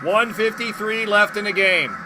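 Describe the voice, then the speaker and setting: A man hosting an informal live football stream, mixing play-by-play in clipped phrases with chatty shout-outs to viewers. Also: high voice, moderate pace, noisy background. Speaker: livestream host and play-by-play commentator.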